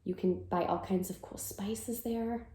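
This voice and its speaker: A woman's voice: mid-range voice, fairly even intonation, a little slow, mellow, echoey room. A woman teacher reading a picture book aloud to children, with sing-song verses and simple explanations.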